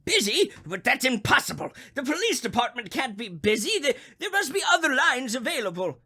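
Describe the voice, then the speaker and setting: A man's voice: very high voice, very animated, a little fast, bright, forceful tone, exaggerated voice. An actor playing a crotchety, panicky old invalid man in an old radio thriller, stammering and fretful in a melodramatic way. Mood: frantic, demanding. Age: older.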